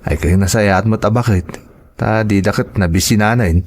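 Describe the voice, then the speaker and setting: A man's voice: on the low side, normal speed, lightly acted. A man playing an easygoing, hard-working husband in an audio drama, chatting hesitantly with a Filipino accent.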